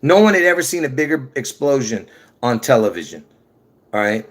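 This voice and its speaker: A man's voice: medium pitch, big pitch swings, brisk. Rambling man talking to his viewers. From Lebanon. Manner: rambling, restarts often, addresses viewers directly. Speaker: conspiracy video creator. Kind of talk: rambling casual monologue to camera.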